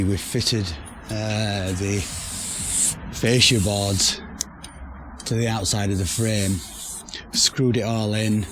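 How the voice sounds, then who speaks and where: Hoarse guy, lowish voice, rambly self-deprecating diy chat outdoors, faint ambience behind. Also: background noise. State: hoarse, losing his voice. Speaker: vlogger building a pizza oven shelter. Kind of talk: casual to-camera DIY chat. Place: outdoor building site in a garden.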